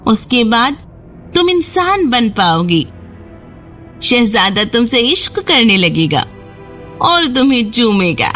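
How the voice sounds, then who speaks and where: A woman voicing a menacing sea witch who bargains in a slow, taunting way for a children's fairy tale cartoon. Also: a little high, big pitch swings, a bit bright, soft background music.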